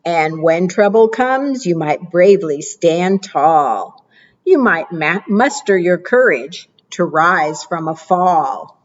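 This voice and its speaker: A woman librarian reading picture books aloud to preschoolers, with expressive, animated delivery, asking the children questions and praising their answers. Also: slow pace, muffled recording. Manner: expressive, animated read-aloud with playful pitch jumps.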